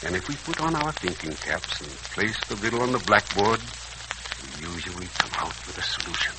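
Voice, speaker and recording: An older man playing a kindly, philosophical uncle in a 1940s radio serial, speaking in a measured, folksy, moralizing way. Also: low voice, animated. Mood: gentle, consoling. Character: kindly, philosophical elderly uncle, gently reassuring. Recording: crackly, hissing 1940s radio recording.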